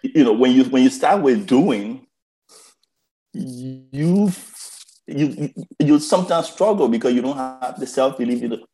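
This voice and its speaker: A man from Liberia, a podcast guest telling stories in a slow, emphatic way and often repeating words as he starts a thought. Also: mid-range voice, expressive, mellow, rough recording. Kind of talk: reflective conversational storytelling.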